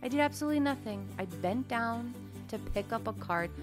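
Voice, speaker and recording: A woman who grew up speaking Greek, co-hosting a casual podcast chat, animated and self-deprecating, often laughing. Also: fairly high pitch, brisk, music in the background. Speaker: podcast co-host.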